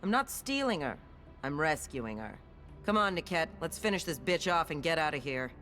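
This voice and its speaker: A sharp, sneering mercenary captain. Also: female voice, low-pitched, very animated, moderate pace, faint music behind. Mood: mocking, menacing. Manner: sneering, scornful. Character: cocky, ruthless mercenary captain, impatient and mocking. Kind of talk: video game voice acting.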